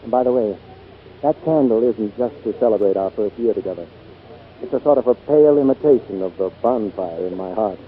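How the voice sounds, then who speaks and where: An actor playing a genial, smitten theatrical producer in 1940s radio style, gallant and placating. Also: male voice, on the low side, brisk, close to an everyday voice. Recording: tinny 1940s radio broadcast.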